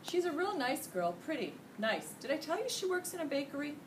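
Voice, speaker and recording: A woman's voice: sits high, big pitch swings, brisk, voice pushed up. A woman voicing a jolly male night janitor from a children's storybook, enthusiastic, colloquial and chatty. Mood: happy, smitten. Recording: noisy room, distant microphone.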